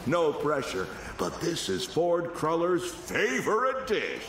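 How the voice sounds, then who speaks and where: A showy male game show host from a cartoon video game, swooping wildly in pitch with a fairly high voice. He announces everything with theatrical excitement in a roomy, echoing, noisy space. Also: slow pace, full character voice. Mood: showy suspense. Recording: roomy echo with background noise.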